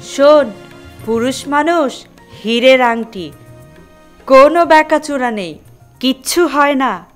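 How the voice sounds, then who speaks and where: A woman's voice: high-pitched, slow, pauses often, soft background music. A woman acting a firm, practical, chiding mother arranging her son's marriage in an audio drama. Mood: insistent. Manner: chiding, matter-of-fact.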